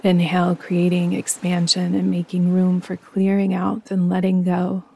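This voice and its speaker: A woman guiding a yoga nidra meditation in a soft, breathy voice, calm, soothing and unhurried. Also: on the low side. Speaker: meditation guide and host.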